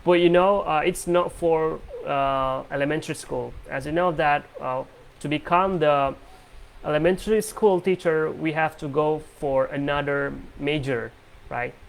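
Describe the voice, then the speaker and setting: Young man, Indonesian accent, gravelly, fairly high pitch, hesitant, video call. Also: steady tone, measured pace. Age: young adult. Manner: hesitant, many fillers and restarts. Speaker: host of an English practice call. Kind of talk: casual English practice chat.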